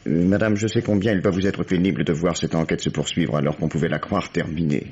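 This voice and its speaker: An actor playing a shrewd police commissioner in a French radio mystery, dry, wry and quietly commanding. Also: male voice, sits low, steady tone, fast talker, a little hiss or hum. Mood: courteous, probing. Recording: dull vintage radio recording.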